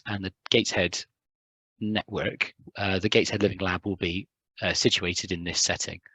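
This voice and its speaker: A young man, a hydrogeologist, giving a conference talk in a hoarse, recovering voice, hesitant with many ums and restarts. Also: lowish voice, a bit bright, no echo, low-quality recording. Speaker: hydrogeologist presenting at a symposium. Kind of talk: conference talk with filler words.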